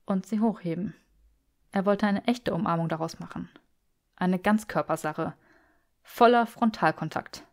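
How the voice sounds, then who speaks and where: A woman reads a story as an audiobook narrator, in an expressive scripted delivery. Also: fairly low pitch.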